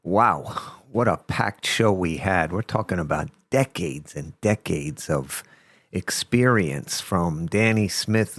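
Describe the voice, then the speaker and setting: An older man with a New Jersey accent and a low voice hosts a relaxed interview, chatty and easygoing with plenty of agreeing yeahs. The sound is dry and close to the mic. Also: no echo. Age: 60s. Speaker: host. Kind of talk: casual interview chat.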